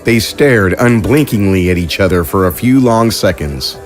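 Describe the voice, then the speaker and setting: A man narrating an audio drama in a steady storytelling delivery. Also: on the low side, normal speed, soft background music.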